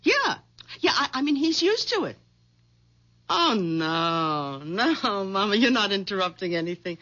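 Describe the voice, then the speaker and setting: Swooping, nagging wife. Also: female voice, mid-range voice, sing-song, unhurried, fairly bright tone. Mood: chatty, doting. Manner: bossy, complaining, chatty. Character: nagging, domineering, self-absorbed wife of a meek man. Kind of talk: radio mystery drama acting. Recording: dull lo-fi old radio recording.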